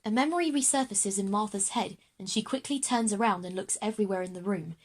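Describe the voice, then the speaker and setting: A woman's voice: mid-range voice, fast talker. Female narrator, children's audio drama, scene-setting delivery, mid-range voice. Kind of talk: scripted narration in present tense.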